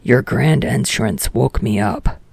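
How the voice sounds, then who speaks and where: Irritable teen boy, played by a woman, low pitch, thick vocal fry, husky rasp, curt and dry delivery, audiobook character. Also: takes it slowly, darkish tone, dry recording, exaggerated voice. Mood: irritated, dry. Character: gruff, irritable, foul-mouthed teenage boy, blunt and dry.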